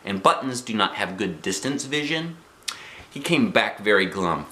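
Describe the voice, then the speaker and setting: A man reading a children's story aloud in an expressive, playful, animated manner. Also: on the low side, normal speed, echoey room. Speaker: storybook reader.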